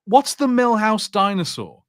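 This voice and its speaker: A man's voice: high voice, animated, unhurried, no room sound, clean studio sound. A man co-hosting a podcast, giving his take in casual chat with a blunt, dismissive, informal manner. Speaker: podcast co-host.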